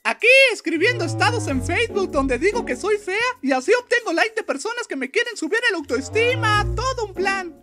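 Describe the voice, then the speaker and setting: Squeaky, bratty little Mexican sister. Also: female voice, animated, a little fast, hardly any pauses, music in the background. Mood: smug. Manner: cheeky, slangy. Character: bratty, lazy, foul-mouthed little gamer sister, squeaky-voiced. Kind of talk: amateur parody cartoon dub.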